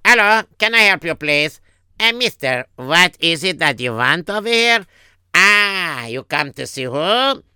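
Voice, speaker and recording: A man's voice: fairly high pitch, sing-song, a little slow, vocal fry, sharp tone, clear, resonant tone, no room sound, clean studio sound, pitched above the performer's own voice, exaggerated voice. A man voicing a chatty, inquisitive female secretary in a comic story. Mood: effusive, welcoming. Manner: effusive, inquisitive, officious. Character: Mrs. Havemeyer, chatty female secretary with put-on Yiddish-style accent.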